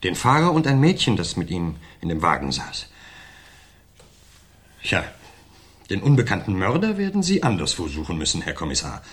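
An actor playing a cool, self-assured murder suspect in a 1970s German radio crime drama, answering in a composed, slightly smug way. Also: male voice, deep voice, wildly swooping pitch, normal speed, pauses often, a bit bright, full character voice.

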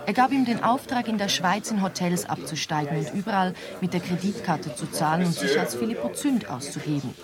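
Cool young lady on the run, radio play, slightly low voice, all business, bar chatter behind. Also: animated, fairly quick, few pauses. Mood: matter-of-fact. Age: young adult. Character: cool, hard-bargaining young woman on the run. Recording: busy bar crowd behind the voice.

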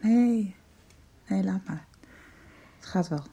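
An actress playing a weak, weary hospital patient in a radio soap, speaking haltingly in a husky, faint voice. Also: female voice, mid-range voice, dark tone, heavily acted. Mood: weak, withdrawn.

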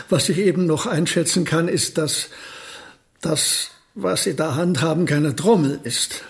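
An actor playing a gentle, absent-minded elderly physicist in a radio drama, speaking politely with wry understatement. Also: male voice, a little high. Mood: amused, modest.

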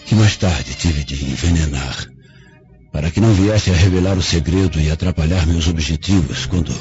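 Very deep, solemn dying avenger, played by a man. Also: a little mellow, close to an everyday voice. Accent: Brazilian. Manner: solemn, formal, theatrical phrasing. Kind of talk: radio drama acting. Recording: dull lo-fi radio drama, faint music behind.

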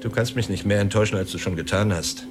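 An actor playing a nervous, sardonic middle-aged film director in a radio drama, stammering when rattled. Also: male voice, lowish voice, fast, natural voice. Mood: indignant, wry. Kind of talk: naturalistic German radio drama dialogue. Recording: music under the dialogue. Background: music.

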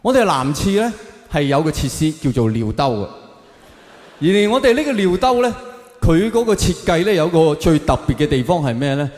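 A man with a Hong Kong Cantonese accent doing an animated, colloquial stand-up comedy routine on stage. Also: on the high side, normal speed. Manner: animated, colloquial, acting out exchanges. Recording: echoey hall with long reverb.

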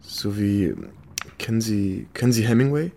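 An actor playing a modest young American war veteran and writer in a radio play, hesitant and understated. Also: male voice, on the low side, moderate pace, warm tone, a little hiss or hum, close to an everyday voice. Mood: hesitant, earnest. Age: young adult.

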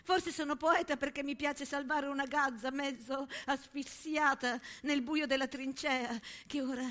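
A woman's voice: fairly high pitch, long reverb tail. A woman playing an impassioned, haunted young male soldier and poet in a literary stage monologue. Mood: wry, tender. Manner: intense, literary, swinging from outcry to hush.

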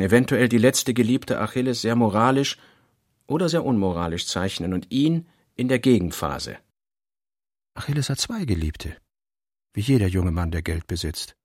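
Brooding male novelist, gravelly and deliberate, close dry sound, literary radio drama. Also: lowish voice, no echo, heavily acted. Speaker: radio play reader. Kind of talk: literary radio reading of narration and working notes.

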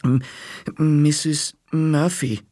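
A man voicing a scared, excited young boy who shouts and stammers in a high voice. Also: very slow, no echo, studio quality. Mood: urgent.

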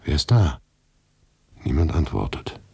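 An actor playing a restless, irritable writer who narrates his own absurd story in a radio play, with a gravelly, husky voice and an intimate delivery that often drops toward a whisper. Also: a bass voice, very animated, a little slow, darkish tone, dry recording, full character voice. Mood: tense, watchful. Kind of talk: dramatic first-person radio play narration.

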